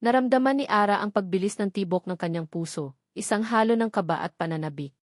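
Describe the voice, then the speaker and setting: A woman with a Filipino accent narrating a scripted love story in a measured, expressive, dramatic way. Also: medium pitch, dry recording, clean studio sound.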